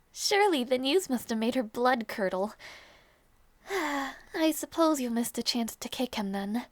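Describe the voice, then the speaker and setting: A woman acting a young princess in an intimate audio roleplay, tender and wistful, with soft, regal phrasing that hides sadness. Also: high voice, no room sound, full character voice. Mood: resigned, reassuring. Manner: soft, measured, regal phrasing with small teasing turns.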